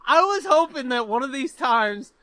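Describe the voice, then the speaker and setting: High, bright-voiced laughing podcast host. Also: male voice, expressive, normal speed, dry recording. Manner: loud, laughing, crude joking. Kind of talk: casual comedic podcast banter.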